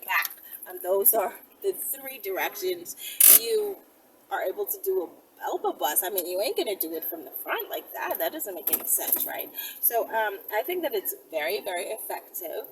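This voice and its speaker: A woman's voice: medium pitch, very animated, normal speed. A woman giving casual instructional talk to camera as a self-defense presenter, speaking conversationally and directly to her viewers. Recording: distant mic, faint background noise.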